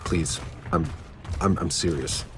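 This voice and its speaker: A man's voice: deep voice, slow pace. An actor playing a dry, weary man in a sci-fi video game. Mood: pleading, exasperated. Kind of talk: video game dialogue acting.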